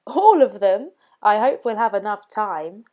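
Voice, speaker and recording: A woman's voice: mid-range voice, lively intonation, measured pace, dull audio, lightly acted. A woman voicing a good-natured, slightly worried older father from a storybook, exclaiming and fretting a little. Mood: amused.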